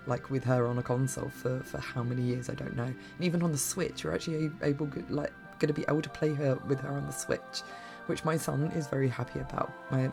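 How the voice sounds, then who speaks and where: A woman reviewing games in a casual, rambling way, her voice croaky and worn from a cough. Her tone stays flat and level, with a gravelly catch at the bottom. Soft music plays quietly behind her. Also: very deep voice. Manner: rambling, gushing, many restarts. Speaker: game reviewer.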